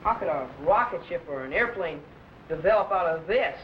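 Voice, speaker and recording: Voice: medium pitch, animated, a little fast, lo-fi sound, close to an everyday voice. A young performer playing an earnest, curious boy assistant in a 1950s sci-fi drama. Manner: earnest, wondering. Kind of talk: 1950s children's sci-fi television acting. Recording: roomy, noisy vintage programme soundtrack.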